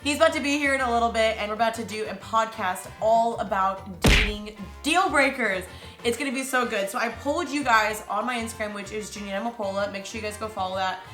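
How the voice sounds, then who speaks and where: A woman's voice: a little high, fast, reverberant, music in the background. Bubbly, slangy woman podcast host. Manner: bubbly, slangy, laughs often. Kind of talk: casual podcast chat.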